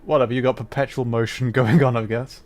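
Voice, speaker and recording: A man's voice: medium pitch, fairly even intonation, fast talker, soft background music. A man hosting a gameplay livestream with casual, chatty, self-deprecating commentary. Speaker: livestream host.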